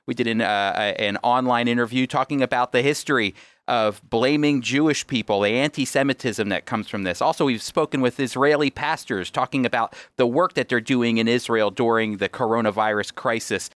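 A man's voice: mid-range voice, fairly quick, a bit bright, no room sound. A man with an American accent teaching on a radio program in an emphatic, explanatory way. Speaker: radio host and Bible teacher.

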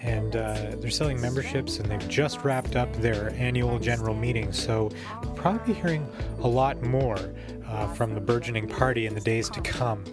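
A man with a Canadian accent giving a reflective, measured, conversational report as a podcast host. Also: medium pitch. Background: guitar music under the voice.

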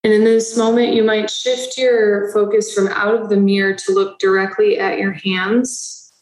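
Female meditation guide, slow soothing delivery, flat even tone, echoey room. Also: mid-range voice.

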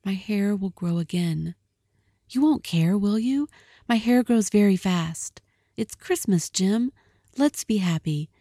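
A woman's voice: mid-range voice, moderate pace, mellow, no room sound, clean studio sound, lightly acted. A woman reading a storybook aloud, voicing an anxious, loving young wife with a pleading, breathless manner.

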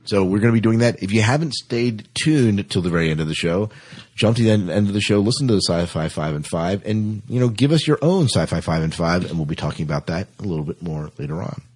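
A man casually hosting a science fiction podcast in a chatty, playful way, with a husky voice. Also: low-pitched, quick pace. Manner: animated, playful. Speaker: podcast host.

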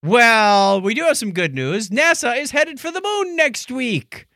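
A man's voice: very high-pitched, big pitch swings, unhurried, sharp tone, dry recording, studio quality. A man co-hosting a podcast, chatting casually through science news with jokey, wry asides. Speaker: podcast co-host. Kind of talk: casual science news chat, paraphrasing articles.

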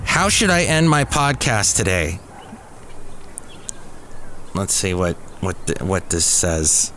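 A man's voice: sits low, sing-song, slow, noisy background. A man hosting a podcast in a casual, chatty solo style. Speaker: podcast host.

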